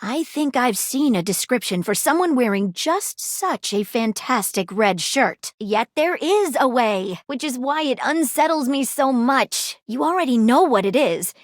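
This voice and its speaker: A woman voicing an earnest, flirtatious female detective in a video game, with formal, theatrical, deliberate phrasing. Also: sits high, expressive, no echo, studio quality. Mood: troubled, confiding. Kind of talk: scripted game character dialogue.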